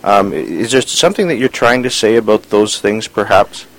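A man's voice: on the low side, animated, normal speed, rough recording. A man interviewing an author, asking questions with frequent stammers and repeated words.

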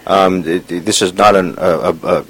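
A man's voice: sits low, fairly even intonation, normal speed, fairly bright tone. A man interviewing an author, asking questions with frequent stammers and repeated words.

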